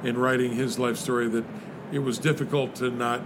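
A man's voice: mid-range voice, level tone, fairly quick. A man hosting an interview, asking long conversational questions. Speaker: podcast host. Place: noisy spot near traffic. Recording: background traffic noise.

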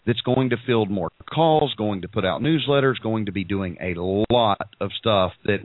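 A man's voice: fairly low pitch, lively intonation, normal speed, dry recording. A middle-aged man hosting a podcast, sounding congested and froggy, rambling through announcements with many ums and restarts. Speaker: podcast host. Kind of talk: casual show announcements. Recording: tinny phone-like line.

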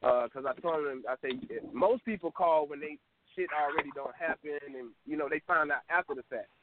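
A man's voice: a little high, a little fast, crowd noise. Man, twenties, caller, rambling casual storyteller, tinny phone line. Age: 20s. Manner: loose, rambling storytelling, laughs often. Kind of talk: casual call-in chat.

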